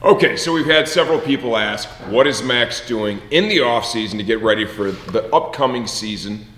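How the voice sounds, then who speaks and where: A man's voice: mid-range voice, expressive, moderate pace, fairly bright tone, soft background music. Upbeat middle-aged man in an echoey garage. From Michigan, USA. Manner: upbeat, joking, asks leading questions. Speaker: host, team owner and father. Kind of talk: casual chat with his son. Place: large garage workshop indoors. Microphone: far camera, no mic seen. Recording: echoey, roomy garage sound.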